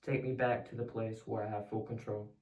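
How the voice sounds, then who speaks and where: A teenage boy, a high school student, reciting his own poem at a reading. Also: level tone, a little fast, heavy background noise. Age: teens. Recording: roomy echo, distant mic.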